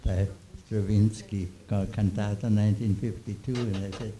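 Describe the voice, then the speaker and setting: A man in his nineties, born in Austria with German as his first language, telling old stories in a halting, chuckling way with many restarts. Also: on the low side, steady tone, background noise. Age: 90s. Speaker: interviewee. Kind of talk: reminiscing Q&A.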